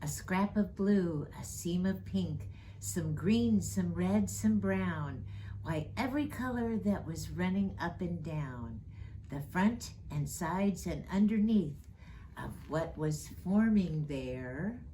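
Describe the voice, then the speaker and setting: A woman reading a children's storybook aloud in an expressive storytime style. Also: fairly low pitch, a little hiss or hum, low-quality recording. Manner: slow, expressive, rhyming read-aloud delivery. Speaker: storyteller reading as Mrs. Claus.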